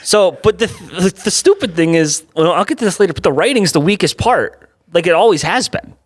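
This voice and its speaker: Animated young man in podcast chat. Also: high-pitched, fairly quick. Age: 20s. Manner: animated, joking, laughs often. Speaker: podcast co-host. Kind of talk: casual movie review chat.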